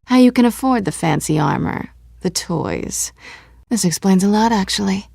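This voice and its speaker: A woman's voice: mid-range voice, animated, moderate pace, gravelly, a little mellow, no room sound, studio quality. An American woman in her thirties playing a sly, self-assured cat burglar in a video game, teasing, flirtatious and sardonic. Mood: sly, amused.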